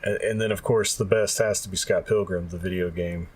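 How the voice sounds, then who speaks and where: A man's voice: low voice, fairly even intonation, brisk, husky. A man co-hosting a gaming podcast, chatting in a laid-back, informal way. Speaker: podcast co-host. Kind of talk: casual gaming podcast chat.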